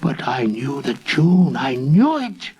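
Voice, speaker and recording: An old shepherd, acted out by a man, gives his eyewitness account of a ghostly sight. The voice is lifted and husky, with a haunted, awestruck intensity fit for a dramatic folk tale. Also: medium pitch, very animated, slow, darkish tone, lo-fi sound, voice pushed up, heavily acted.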